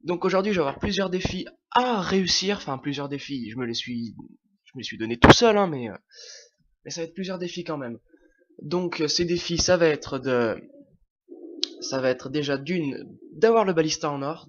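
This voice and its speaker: A man's voice: fairly high pitch, animated, moderate pace, lo-fi sound. A young man with a French accent, who has a cold, commenting casually on his own gameplay, hesitant and full of fillers and restarts.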